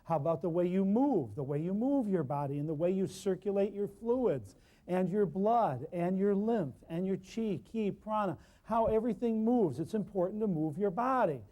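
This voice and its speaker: A man's voice: high-pitched, animated, normal speed, muffled recording. A middle-aged man teaching a natural healing seminar in an emphatic, preachy style with short punchy phrases. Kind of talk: seminar lecture.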